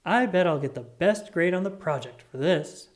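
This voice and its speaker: A storybook reader voicing a bossy, self-assured young girl in a loud, insistent way. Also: mid-range voice, animated, moderate pace, lightly acted.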